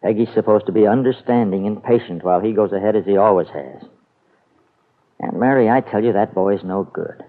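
Tinny old-radio actor as a blunt, low-voiced man. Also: brisk, lightly acted. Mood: bitter disapproval. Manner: blunt, exasperated, emphatic. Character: protective, indignant man, blunt and skeptical. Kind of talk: vintage radio soap opera acting.

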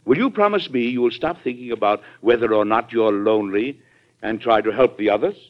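An actor playing an earnest, fatherly American president in a vintage radio drama, measured and persuasive, with an American accent. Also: medium pitch, natural voice. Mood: gentle, coaxing. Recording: muffled vintage radio recording.

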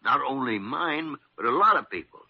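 An actor playing a crafty, meddling old senior doctor in a vintage radio drama, folksy and theatrical, with a hesitant, wheedling delivery. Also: male voice, mid-range voice, sing-song, normal speed, breathy edge. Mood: flattering. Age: older. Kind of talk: 1940s radio drama acting. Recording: muffled vintage radio recording.

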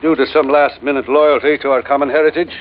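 A man's voice: on the high side, fairly even intonation, fast, lightly acted. Man acting a dry, cynical doctor, vintage radio. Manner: dry, sardonic, articulate. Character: cynical, dishonest castaway doctor, coolly philosophical. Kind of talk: old radio drama acting. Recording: muffled, noisy vintage radio recording.

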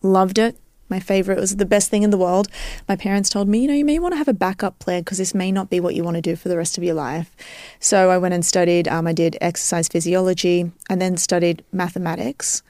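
A woman's voice: on the low side, fairly even intonation, fast, mellow, dry recording, studio quality. A woman with an Australian accent explaining her field as an expert guest in a podcast interview, teaching step by step and checking that she is followed. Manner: didactic, checks understanding with tag questions.

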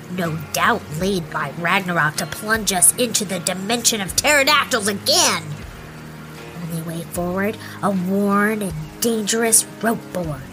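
A woman's voice: medium pitch, lightly acted, rough recording. A woman playing an imaginative young girl who plays adventure games with a childlike manner. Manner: playful, breathless, childlike. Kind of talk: audio drama acting.

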